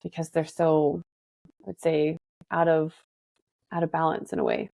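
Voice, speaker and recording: A woman's voice: low-pitched, level tone, moderate pace, gravelly, mellow, dry recording. A woman in her thirties, a therapist guest on a podcast, speaking in a thoughtful, reflective way. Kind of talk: casual podcast conversation.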